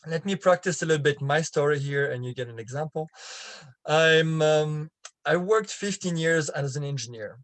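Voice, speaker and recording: A middle-aged man from France with a French accent, explaining marketing through his own story and often pausing on fillers and restarts. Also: a little high, a bit bright, no room sound. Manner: halting, many fillers and restarts. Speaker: online marketing coach. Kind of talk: explaining with a personal example.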